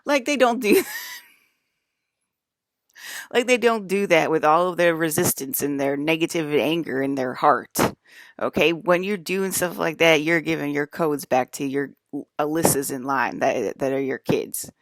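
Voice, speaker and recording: A woman's voice: deep voice, unhurried, dry recording. A woman in her fifties talking straight to her viewers in a rambling, confrontational monologue, full of fillers and swearing. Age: 50s. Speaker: video blogger.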